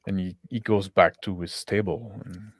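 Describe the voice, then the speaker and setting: A man's voice: low voice, fairly even intonation, moderate pace, husky, no echo, clean studio sound. A man working as game master, improvising scene narration for a tabletop roleplaying game.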